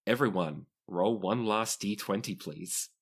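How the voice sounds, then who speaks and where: Deep-voiced man running a tabletop game. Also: unhurried. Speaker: game master. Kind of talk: narrating a tabletop roleplaying game.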